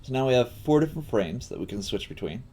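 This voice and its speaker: A man giving a casual live coding tutorial as a teacher, thinking aloud in a halting way while he works. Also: mid-range voice, sing-song, dark tone, slight background noise.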